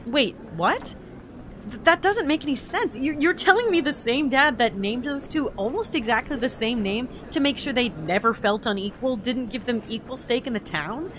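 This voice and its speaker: A woman playing an exasperated, excitable agent from another reality in an audio drama, stammering and sarcastic, with background noise. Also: on the high side, moderate pace. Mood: incredulous.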